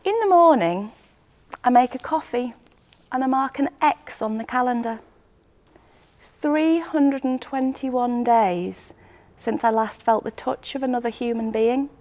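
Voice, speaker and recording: Actress doing a lonely woman telling her story, highish voice, wistful n self-mocking, short clipped phrases, stage monologue. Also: a little slow, darkish tone, natural voice. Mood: lonely, weary. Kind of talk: first-person dramatic monologue.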